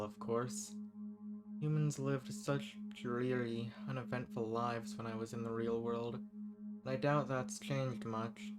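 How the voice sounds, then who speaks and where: Man playing a smug, flirty ancient villain over loud music. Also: mid-range voice, lightly acted. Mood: smug, teasing. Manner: smug, formal, teasing, unhurried phrasing. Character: ancient banished villain, male, flirtatious, condescending, softly menacing. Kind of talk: intimate audio roleplay monologue.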